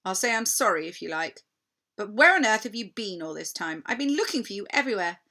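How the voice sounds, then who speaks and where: A woman's voice: medium pitch, animated, a little fast, pushed and bright. A woman voicing a sulky, greedy young boy with a snappish, know-it-all manner in a storybook. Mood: grudging, snappish.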